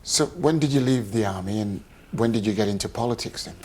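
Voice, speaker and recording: Guy interviewing for local tv, lowish voice, keeps saying yeah, faint background hum. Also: a little fast, a little mellow, rough recording. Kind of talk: friendly interview questions.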